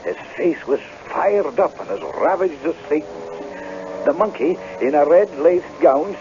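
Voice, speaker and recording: An actor in a radio drama playing a hard-drinking, nervous Scottish tenant farmer who wheedles and stammers as he tells his tale. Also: fairly high pitch. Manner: stammering and blustering, folksy. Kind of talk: radio drama acting and narration. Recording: muffled, noisy old radio broadcast.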